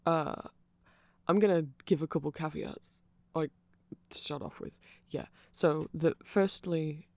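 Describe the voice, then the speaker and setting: Man as a creaky-voiced, nerdy, rambling vampire. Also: fairly high pitch, no room sound. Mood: earnest, rambling. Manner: halting, self-deprecating rambling with small laughs. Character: nerdy, hesitant, friendly male vampire music fan. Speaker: ASMR audio roleplay performer. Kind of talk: ASMR roleplay, casual rambling chat to one listener. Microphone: close microphone.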